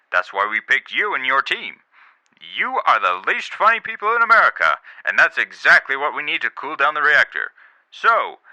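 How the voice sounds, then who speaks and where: A man playing a pompous, stern president with dry, deadpan insults in a comedy radio play. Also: medium pitch, dry recording, poor audio. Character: pompous, stern president giving a secret mission, dryly insulting.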